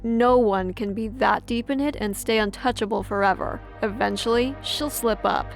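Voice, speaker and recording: An actress playing a no-nonsense, sceptical police officer in an audio drama, with a dry, firm delivery. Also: female voice, on the high side, normal speed, gravelly, faint music behind.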